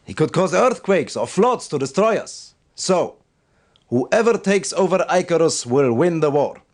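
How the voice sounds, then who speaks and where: An actor playing a stern, devout military commander who gives solemn, formal and deliberate briefings in a video game. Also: male voice, fairly high pitch, animated. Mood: stern, urgent.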